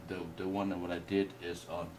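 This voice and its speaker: A man whose first language is Mandarin, teaching a painting workshop in a halting way with many restarts. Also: low-pitched, little pitch movement. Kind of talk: hesitant workshop explanation. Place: indoor workshop room. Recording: distant mic with faint noise.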